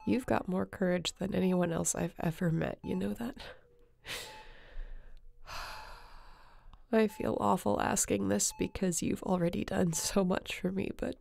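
Casting: actress for tender, guilt-ridden female space captain, heavy vocal fry, halting emotional delivery, sci-fi roleplay. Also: medium pitch, fairly even intonation, darkish tone. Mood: tearful, pleading. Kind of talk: sci-fi audio roleplay acting.

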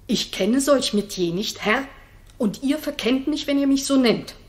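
A woman's voice: sits high, lively intonation, measured pace. An actress playing an outspoken, indignant lady-in-waiting in a bold, declamatory 1960s radio drama style. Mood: defiant. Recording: roomy 1960s radio studio recording.

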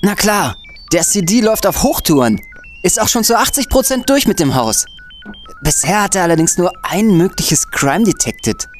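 A laid-back young hacker, played by a man, with a soothing manner. His pitch swings very widely. Faint music sits under the voice. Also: huge pitch swings, normal speed. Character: calm young male hacker. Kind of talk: comic radio play.

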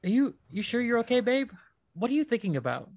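A doting young husband in a naturalistic radio play. He is casual and upbeat, his pitch moving around a lot, in a very dry recording. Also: big pitch swings, fast, a little mellow, no echo, heavily acted. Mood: curious. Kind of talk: naturalistic radio drama dialogue.

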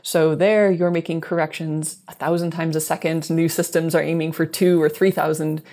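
A woman's voice: deep voice, little pitch movement, moderate pace, dark tone. A woman in her thirties explaining technical science conversationally as an interview guest on a video call. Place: indoor office, video call.

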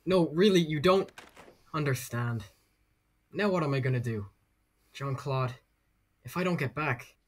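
A low-voiced performer playing an awkward, hesitant young kitchen boy in a children's audio drama. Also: unhurried, pauses often. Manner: hesitant, understated.

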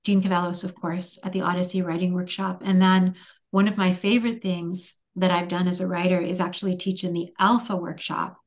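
A woman in her forties whose first language is Hungarian, answering interview questions thoughtfully over a video call from a room at home, with many pauses and restarts. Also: on the low side, level tone. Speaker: author guest.

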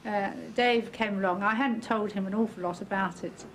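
A woman's voice: mid-range voice, fairly even intonation, moderate pace, a little hiss or hum. An older English woman in her sixties recalling things enthusiastically in a casual interview. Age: older, 60s. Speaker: interviewee, literary fan. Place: outdoors beside old stone building.

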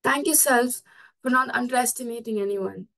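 A woman's voice: fairly high pitch, moderate pace, fairly bright tone, low-quality recording. A woman leads a guided meditation and exercise routine, giving instructions in a small room at home. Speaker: session leader of a meditation routine.

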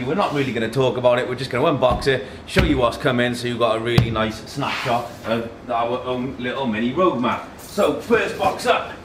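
A man in his thirties hosts a casual product unboxing with a mid-pitched voice. He talks in short phrases while handling things. The room is echoey, with clear noise and clicks behind him. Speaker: host of a tech unboxing video. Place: indoor office meeting room.

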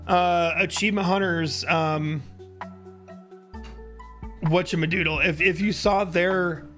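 A man in his early thirties with a hoarse voice, chatting casually as a live streamer on a close mic. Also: high-pitched, fairly even intonation, slow pace, a bit bright. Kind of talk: casual livestream chat. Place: indoor home streaming room. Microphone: close boom-arm microphone. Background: music.

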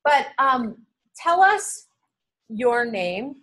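A middle-aged woman leading a seminary class, friendly and animated, speaking to a camera on the table in a large classroom. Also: a little high, drawn-out words. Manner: friendly, animated, gestures with hands. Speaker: seminary instructor. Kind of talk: classroom teaching and prayer. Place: large classroom with drop ceiling. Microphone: camera on the table, below the speaker.

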